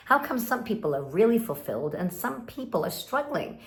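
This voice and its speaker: A woman's voice: mid-range voice, sing-song, moderate pace. A Swedish woman in her fifties with an American-influenced English accent, giving earnest, emphatic coaching talk to camera and acting out inner voices. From Sweden. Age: 50s. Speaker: relationship coach. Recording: roomy echo.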